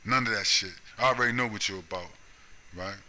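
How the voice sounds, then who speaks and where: A man's voice: on the low side, brisk, bright, forceful tone. An American man delivering a confrontational, profane solo monologue, punctuating his points with "right?" and "you see?". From United States. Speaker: video commentator.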